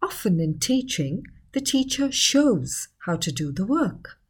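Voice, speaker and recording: Female narrator, animated intonation, husky tone, measured explanatory delivery, scripted educational reading. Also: mid-range voice. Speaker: article narrator.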